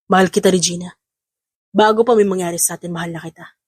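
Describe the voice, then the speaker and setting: An intense, possessive man from a romance audio drama, acted out by a woman. The voice is husky and rather low, the delivery fast, recorded close and dry. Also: steady tone, a little mellow, no echo. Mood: earnest, lovestruck. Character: intense, possessive young man, threatening and lovestruck. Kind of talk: scripted audio drama acting in Tagalog.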